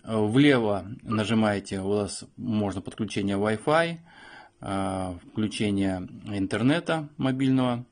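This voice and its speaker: A man giving an unscripted step-by-step device walkthrough as a review host, explaining piece by piece with hesitant pauses. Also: lowish voice.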